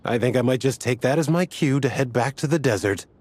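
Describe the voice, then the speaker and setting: A man's voice: medium pitch, normal speed, a little mellow, slight background noise, lightly acted. An actor playing a weary man from a desert order who sighs and grumbles in exasperation. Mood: exasperated. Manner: sighing, exasperated. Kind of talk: game cutscene voice acting.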